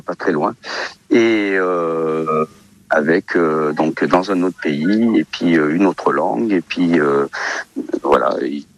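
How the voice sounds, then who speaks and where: A man in his fifties from Portugal, a radio interview guest who talks casually with many hesitations and fillers. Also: sits low. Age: 50s. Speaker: interview guest, olive oil seller.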